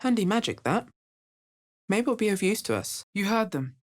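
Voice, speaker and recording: A woman playing a wary, hard-bitten young adventurer in a fantasy video game, with a deep, husky voice and a guarded, terse delivery. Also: very animated, normal speed. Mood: grim, measured. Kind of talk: scripted video game character voice lines.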